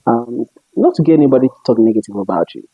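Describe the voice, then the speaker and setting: A man's voice: mid-range voice, very animated, quick pace, breathy edge, no room sound, highs cut off. A young man from Ghana whose accent mixes Ghanaian and international English, chatting casually as an interviewer and often laughing. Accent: Ghanaian mixed with international English. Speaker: interviewer and web show host.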